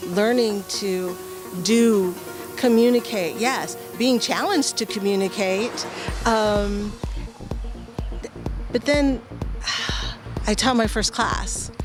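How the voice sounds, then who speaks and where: A middle-aged woman born in the Philippines, answering interview questions in a hesitant, soft-spoken way, often pausing and restarting. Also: medium pitch. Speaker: guest, veteran and art-program founder.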